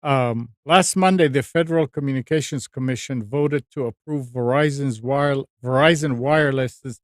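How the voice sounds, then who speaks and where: Halting man hosting tech talk, dry sound. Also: medium pitch, no room sound. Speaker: talk show host. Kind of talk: casual tech news discussion, partly reading news items.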